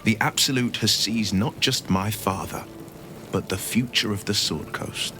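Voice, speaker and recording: A man's voice: on the low side, unhurried, noisy background, lightly acted. A man playing an earnest, eloquent young nobleman monster hunter in dramatic game dialogue, burdened by his past. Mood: grave, resolute.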